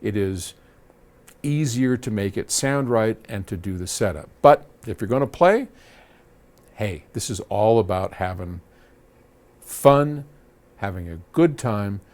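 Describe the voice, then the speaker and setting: A man's voice: fairly low pitch, animated, unhurried, a little mellow. A man hosting a casual advice talk, speaking in a folksy, conversational way with rambling asides. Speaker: host answering audio questions.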